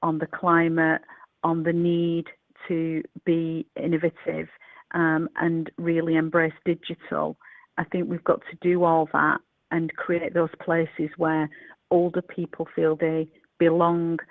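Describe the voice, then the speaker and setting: A woman's voice: sits low, completely flat delivery, a little slow, dry recording. A woman panelist speaking over a video call, rambling with many fillers and restarts. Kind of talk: webinar panel discussion. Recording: tinny phone-like video call audio.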